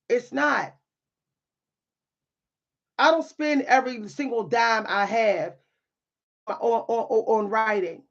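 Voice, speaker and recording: A woman with a deep, at times loud voice, speaking in a bold, sassy way with dry humor and repeating phrases for emphasis in a motivational monologue. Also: highs cut off. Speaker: spiritual content creator and writer.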